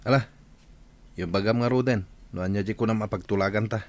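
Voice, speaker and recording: A man's voice: on the low side, fairly quick, a little mellow, lightly acted. A man with an Ilocano accent playing an idle, surly young village husband in a radio drama. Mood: irritated.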